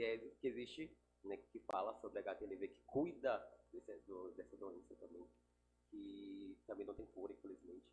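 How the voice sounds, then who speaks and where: A man's voice: medium pitch, rough recording. A man, a guest chatting casually in a podcast interview. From Salvador, Brazil. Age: 30s. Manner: relaxed, chatty, laughs easily. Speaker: guest, digital influencer.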